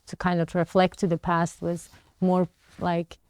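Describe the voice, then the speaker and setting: Low, even-toned Latvian woman. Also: a little slow, no echo. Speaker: interview guest, business leader. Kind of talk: reflective interview talk. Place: furnished indoor lounge studio.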